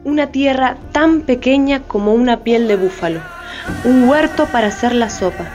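A woman's voice: on the high side, normal speed, music in the background. A woman reading scripted dramatic narration.

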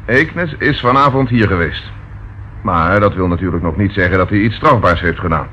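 An actor playing a brisk, commanding and suspicious police inspector in a radio play, giving clipped orders and probing questions. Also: male voice, low voice, expressive, muffled recording. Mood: stern, probing. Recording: noisy old radio drama recording.

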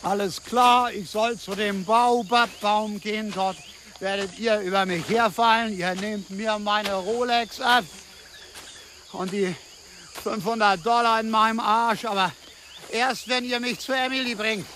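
An actor playing a desperate scam victim in a radio play, agitated and rambling with halting repetitions. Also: male voice, high voice, steady tone, pushed and bright, slight background noise. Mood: bitter, bargaining. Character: desperate German scam victim, pleading and babbling in the bush.

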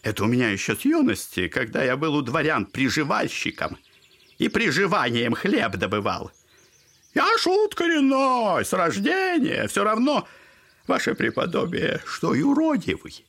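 A man's voice: fairly high pitch, wildly swooping pitch, normal speed, vocal fry, fairly bright tone, breathy edge, heavily acted. An actor playing a garrulous, clownish old father in an audio drama, fawning and theatrical, rambling on with mock politeness. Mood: mock-confessional.

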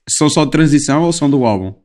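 A man's voice: fairly high pitch, expressive, rapid-fire, a little mellow, a little hiss or hum. A man in his thirties with a European Portuguese accent, interviewing a musician in a chatty, teasing way, with hesitant questions. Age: 30s. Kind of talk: casual interview chat.

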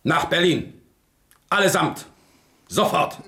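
A gravelly tyrant king. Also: sing-song, takes it slowly, bright, forceful tone, full character voice. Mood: commanding. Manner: barks commands, then broods in low mutters. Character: irate tyrannical old Prussian king. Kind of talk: 1970s radio drama acting.

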